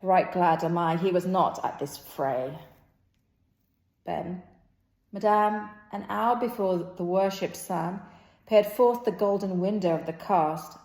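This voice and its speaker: A woman reading a stage play aloud impromptu and playing all the parts, in a halting, untrained way. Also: lowish voice, a little mellow. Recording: echoey room.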